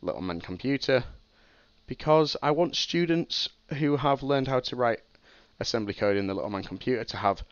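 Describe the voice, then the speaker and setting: A man's voice: medium pitch, lively intonation, moderate pace, no room sound, highs cut off. A young man with a cold, sniffly as he explains a processor design on a casual live stream, thinking aloud with ums and restarts. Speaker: streamer teaching game programming.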